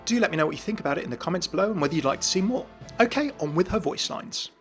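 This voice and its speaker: Higher-voiced man narrating game lore over music. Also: animated, very fast. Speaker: video host and narrator.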